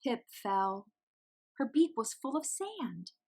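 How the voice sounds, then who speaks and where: Female storybook reader for children, high voice, very lively intonation, slow delivery. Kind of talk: expressive scripted read-aloud for children.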